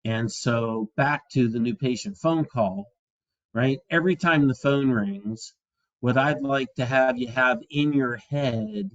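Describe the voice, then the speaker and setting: A man in his sixties giving an instructional talk to fellow practitioners in an earnest, deliberate, emphatic way. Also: mid-range voice. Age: 60s. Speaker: chiropractor and practice coach. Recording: dull, dry lo-fi audio.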